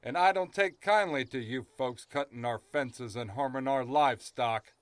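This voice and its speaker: A man's voice: medium pitch, moderate pace, no room sound, close to an everyday voice. A man playing a swaggering, threatening western sheriff full of folksy bravado in a comic audio drama. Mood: menacing, boastful. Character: swaggering, threatening new sheriff cowboy, tough talker.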